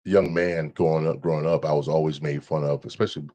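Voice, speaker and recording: A man with a deep voice, a podcast guest talking in a relaxed, halting way, with many restarts and repeated words. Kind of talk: casual conversation.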